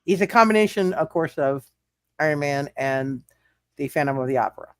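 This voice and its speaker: A game master with a mid-range voice narrates a tabletop roleplay session. The delivery is halting, circling back over words and repeating them while working out rulings. The sound is close and dry. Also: mid-range voice, expressive, dry recording.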